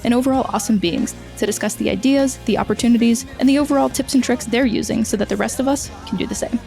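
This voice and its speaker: A woman's voice: on the high side, fast talker, vocal fry, mellow, soft background music. A woman hosting an interview podcast, reading an upbeat scripted intro and then asking short questions. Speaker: podcast host.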